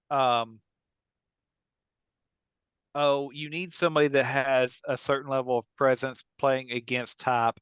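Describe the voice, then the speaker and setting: A man's voice: medium pitch, fairly bright tone, clean tone, no echo. A man hosting a podcast, chatting in a casual, joking way with the other people on the show. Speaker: podcast host. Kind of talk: casual joking panel chat.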